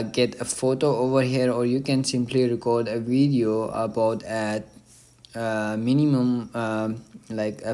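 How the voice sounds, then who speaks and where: A man hosting a casual step-by-step app tutorial, speaking in a halting, hesitant way with pauses. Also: medium pitch, a little mellow. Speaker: tutorial host.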